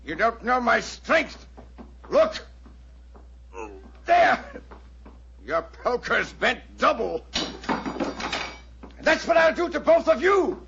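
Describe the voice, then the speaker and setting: An actor playing a menacing, violent doctor who blusters and threatens in theatrical 1940s radio style. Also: male voice, very high-pitched, sing-song, slow, vocal fry, pauses often, fairly bright tone, a little hiss or hum, heavily acted. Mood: furious boasting. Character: menacing, bullying, violent doctor and stepfather villain. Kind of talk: 1940s radio drama acting. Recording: muffled vintage 1940s radio recording.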